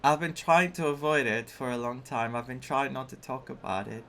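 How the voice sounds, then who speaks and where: A video game streamer giving casual, personal commentary in a halting, reflective and candid way. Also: mid-range voice, fairly bright tone. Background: faint game music.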